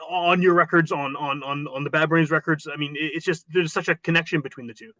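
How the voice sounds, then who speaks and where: A man's voice: on the high side, fairly even intonation, quick pace, bright, forceful tone, a little hiss or hum. A man hosting a podcast, asking long winding questions full of restarts and repeated words. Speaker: podcast host. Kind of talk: interview questions.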